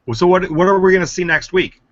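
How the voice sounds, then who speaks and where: A man's voice: high-pitched, quick pace, a bit bright, no room sound. A man co-hosting a casual live stream chat, throwing in dry jokey asides. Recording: dry lo-fi online call audio.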